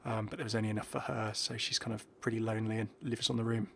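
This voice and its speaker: A man's voice: fairly low pitch, level tone, racing, gravelly, slight background noise. Man giving casual gaming commentary. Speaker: gaming video host. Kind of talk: casual unscripted game commentary.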